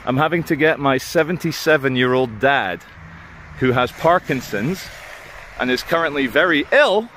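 A man in his thirties filming himself outdoors on a driveway with a handheld camera, talking loudly, pleading and indignant. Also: medium pitch, expressive, normal speed, slight background noise. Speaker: YouTuber filming a confrontation. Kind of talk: confrontational self-filmed vlog. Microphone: handheld camera mic.

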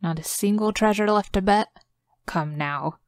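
A woman's voice: medium pitch, a little slow, no room sound, studio quality, voice pushed up, close to an everyday voice. A woman voicing a greedy, smug male Viking gambler who taunts and jokes in a storybook reading. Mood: taunting. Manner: taunting, jovial.

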